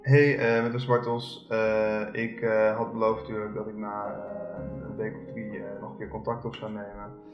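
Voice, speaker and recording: A man's voice: on the low side, monotone, normal speed, over music. Hesitant male caller, roomy lo-fi sound. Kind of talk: phone call. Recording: roomy, dull lo-fi phone recording.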